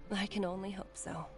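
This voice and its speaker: A mid-pitched female healer, weary and caring, in dramatic game dialogue. Faint music plays underneath her natural delivery. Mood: concerned, resigned. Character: weary, regretful adult woman healer and caring mother. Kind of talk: English video game drama acting.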